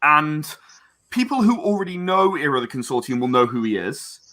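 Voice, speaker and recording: A man talks as a guest in an interview about his own creative work. His voice sits a little high and has a bright, forward edge. He explains in an effusive, emphatic, wandering way. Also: sing-song, a little fast. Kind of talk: enthusiastic interview talk about own project.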